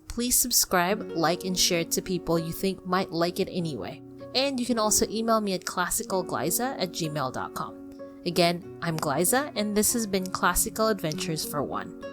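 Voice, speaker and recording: A woman hosting a podcast, reading scripted narration and storybook prose in an expressive, courteous way. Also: fairly low pitch. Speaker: podcast host and reader.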